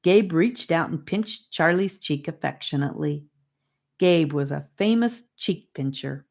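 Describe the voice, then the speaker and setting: A woman narrating a children's fantasy audiobook with deliberate, expressive phrasing. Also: low voice, vocal fry. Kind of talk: scripted storybook narration.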